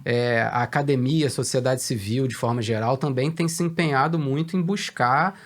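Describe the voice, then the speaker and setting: A Brazilian man, a research director, answering questions in a business interview in a measured, hesitant way with many fillers. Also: medium pitch.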